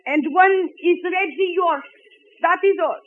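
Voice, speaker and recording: A woman's voice: very high-pitched, measured pace. An actress playing a haughty foreign woman dancer who speaks broken English in a thick dialect, in 1940s radio drama style. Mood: dutiful. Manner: proud, formal, reverent. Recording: tinny old radio broadcast recording, faint hiss.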